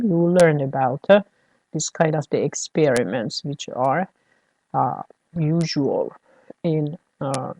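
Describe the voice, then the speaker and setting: Slow, gravelly lecturer. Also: medium pitch, dark tone, rough recording. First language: Persian. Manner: hesitant, many fillers, keeps checking "all right?" with students. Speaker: university lecturer. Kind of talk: classroom lecture.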